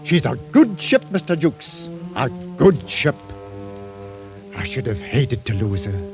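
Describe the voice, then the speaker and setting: Casting: plain-spoken older ship's captain, halting speech, widely swinging pitch, music behind, vintage radio. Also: male voice, big pitch swings. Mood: quietly moved. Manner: understated, halting. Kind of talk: old-time radio drama acting. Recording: 1940s radio recording with music.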